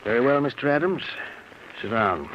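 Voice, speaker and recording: An actor playing a stern, dignified judge with formal, pompous manners in 1940s radio drama. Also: male voice, mid-range voice, very animated, moderate pace, lightly acted. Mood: stiff, guarded. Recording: muffled noisy old radio recording.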